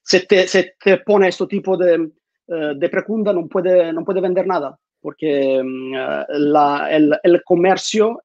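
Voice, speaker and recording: A man's voice: on the high side, darkish tone, no echo. A man, giving an online conference talk in a room at home on a lapel mic, with many fillers and repeated words. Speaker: conference speaker. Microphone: lapel mic on a video call. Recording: dull dry home video call.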